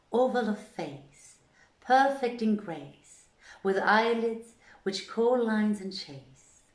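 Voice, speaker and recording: The room rings around a woman narrating an old literary tale. Her voice sits slightly low, and she reads very slowly, with dramatic, deliberate phrasing. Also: a little mellow, echoey room. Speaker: storyteller, reader.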